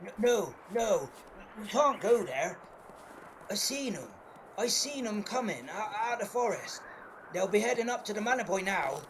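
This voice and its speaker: Very high-pitched, frightened old villager. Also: male voice, big pitch swings, moderate pace, slight background noise, poor audio. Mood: frightened, urgent. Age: older. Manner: stammering, repeats himself in panic. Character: frightened old male villager, panicky and urgent. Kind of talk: audio drama acting.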